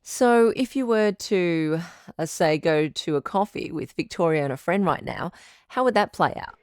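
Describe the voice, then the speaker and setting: A woman acting a low, calm radio advice doctor. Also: moderate pace, clean tone, no echo, studio quality, natural voice. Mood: supportive, attentive. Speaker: radio show host. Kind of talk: scripted radio advice-show drama.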